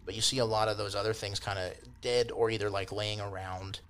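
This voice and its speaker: A man's voice: lowish voice, flat delivery, brisk, a bit bright. A man acting as game master, narrating a tabletop fantasy game in a casual, improvised, conversational way with loose descriptions and asides.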